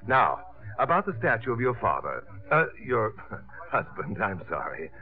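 An actor playing a smooth, flirtatious sculptor with a playful, hesitating manner, in 1950s American radio drama style. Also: male voice, lowish voice, very animated, unhurried. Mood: playful, suave. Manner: suave, playful, hesitating with uhs. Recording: tinny old radio broadcast, music behind.

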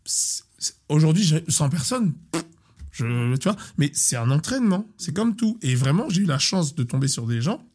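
Animated man coach, slightly high voice. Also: moderate pace, breathy edge. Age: 30s. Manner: animated, repeats words, restarts often. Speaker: sports coach. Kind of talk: casual interview talk.

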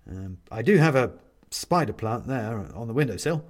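A man voicing a flustered, awkward, newly softened man who stammers hesitantly in an audiobook story. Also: medium pitch, animated, moderate pace, close to an everyday voice. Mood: flustered, fervent.

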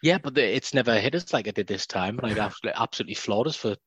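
Low-voiced chatty podcast host. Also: male voice, expressive, racing, a bit bright, husky, no echo, highs cut off. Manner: chatty, laughs often. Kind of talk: casual football podcast chat.

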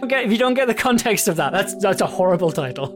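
A British man hosting a casual chat with his parents, self-mocking and laughing often, with halting restarts. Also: sits high, very animated, music in the background. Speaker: podcast host interviewing his parents.

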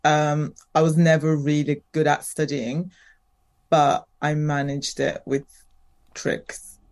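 A woman's voice: low-pitched, little pitch movement, slow, darkish tone. Hesitant woman from Turkey, fillers. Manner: hesitant, many fillers and restarts. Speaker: guest. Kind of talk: casual podcast chat.

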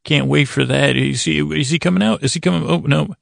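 Very gravelly man, rambling softly along. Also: on the high side, no room sound, studio quality. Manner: meandering, halting, self-interrupting; self-deprecating. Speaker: podcast host. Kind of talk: rambling monologue for a bedtime podcast.

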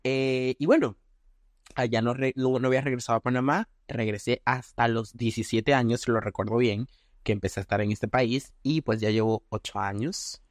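Venezuelan man in his twenties, podcast host, halting chatty delivery with restarts, dry close mic. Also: medium pitch, fairly even intonation, dry recording. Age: 20s. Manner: halting, many restarts and fillers. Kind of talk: casual podcast chat.

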